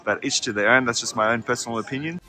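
A man's voice: mid-range voice, quick pace, music in the background. A man, giving a frank, chatty vlog walkthrough of a ski resort, filming himself outdoors on a phone. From half French, half Australian. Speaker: ski travel agency founder, vlogger. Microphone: handheld phone at arm's length, selfie.

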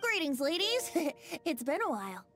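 A carefree young male bard from a video game, voiced by a woman, talking in a high, lilting voice that rises and falls playfully. He sounds light and teasing. Soft game music hums in the background. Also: very animated, unhurried, heavily acted. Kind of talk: game voice acting.

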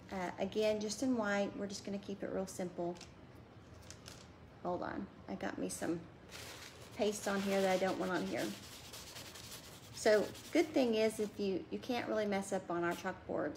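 A woman's voice: mid-range voice, gravelly, darkish tone, a little hiss or hum. A woman in her thirties chatting her way through a home craft demonstration at a table, talking as she works. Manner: chatty, talks while working with her hands. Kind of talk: casual how-to craft demo.

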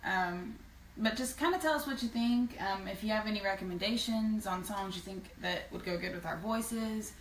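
A woman's voice: medium pitch, moderate pace, a little hiss or hum. A young woman chatting casually on a live stream from a small room, easygoing and chiming in with short additions. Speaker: singing duo member. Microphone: phone camera at a distance. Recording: echoey room, from a distance.

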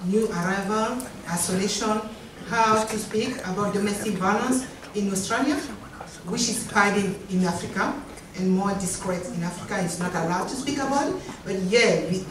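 A woman from Cameroon speaking on a panel in a lively, chatty way, with playful asides. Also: mid-range voice, normal speed. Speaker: association co-founder on a panel. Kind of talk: panel talk. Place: large conference room. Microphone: handheld mic, heard from far. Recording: echoey room, distant, some noise.

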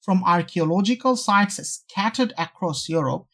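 A model reader giving a scripted, formal read-aloud with deliberate, careful phrasing. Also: medium pitch, lively intonation, a bit bright. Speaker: sample reader for English test practice.

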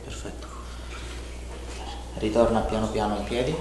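A man's voice: lowish voice, fairly even intonation, moderate pace. A man in his thirties talking a patient through hands-on treatment as a chiropractor, reassuring and instructional. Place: indoor treatment room in a home-like studio. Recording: echoey room with clear background noise.

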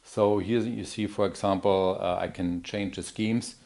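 A middle-aged man giving a live product demo in a halting, explanatory manner. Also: sits low, fairly even intonation, gravelly, darkish tone. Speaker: product demonstrator.

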